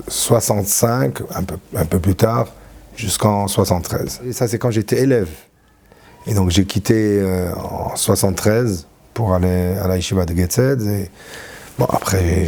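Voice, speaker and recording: A man in his sixties from France, a rabbi recalling his life in an interview, halting often with fillers and restarts. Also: low voice, creaky, dark tone. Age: 60s. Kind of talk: reminiscing interview.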